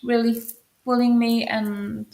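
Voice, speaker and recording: A woman's voice: on the high side, level tone, takes it slowly, mellow. A young woman who is not a native English speaker answers interview questions in a light voice, hesitating and searching for words. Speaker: guest musician.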